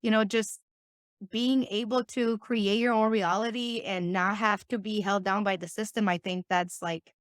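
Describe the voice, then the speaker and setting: A woman from Romania, a guest on a podcast, talking in an excitable, bubbly way and laughing often. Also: mid-range voice, normal speed, dry recording, studio quality. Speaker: podcast guest, business owner. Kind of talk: casual interview talk.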